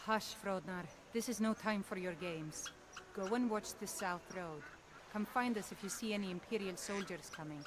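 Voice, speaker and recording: Hospitable village woman, played by a woman, no-nonsense, protective, medium pitch, game dialogue, noisy surroundings. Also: normal speed, close to an everyday voice. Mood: stern. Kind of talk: video game dialogue acting. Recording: game audio with loud ambient noise.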